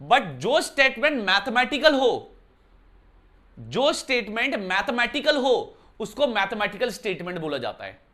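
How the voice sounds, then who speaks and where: A man teaching maths in a bright, clear voice, talking to his students casually. He explains step by step and keeps asking them whether they follow. He is from Uttarakhand and records indoors. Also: sits high, very animated, measured pace. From Uttarakhand, India. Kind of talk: classroom lesson explanation. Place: indoor room.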